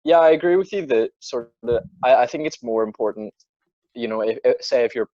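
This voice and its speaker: A man's voice: medium pitch, animated, fairly quick, warm tone, dry recording, lo-fi sound. A teenage male podcast co-host chatting casually about films in a blunt, sweary way, often repeating words as he goes. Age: teens. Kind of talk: casual film discussion.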